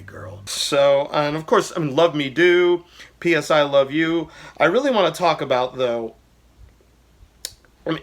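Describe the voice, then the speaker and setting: A man's voice: mid-range voice, a bit bright, breathy edge. A man talking casually about a favorite album, enthusiastic and rambling, with lots of fillers and restarts. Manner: briefly sings lyric snippets. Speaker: vlogger reviewing albums. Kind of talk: casual personal music commentary.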